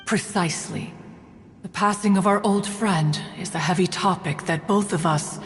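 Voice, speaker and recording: A woman's voice: on the low side, normal speed, long reverb tail, soft background music, lightly acted. A woman voicing a proud, aloof ancient female immortal in a video game, with formal, lofty, archaic phrasing. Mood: solemn.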